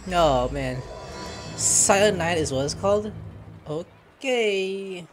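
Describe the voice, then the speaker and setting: Animated, informal game streamer. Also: mid-range voice, takes it slowly, over music. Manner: informal, swearing, joking. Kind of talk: casual gameplay reaction commentary. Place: indoor room, webcam setup, game audio behind.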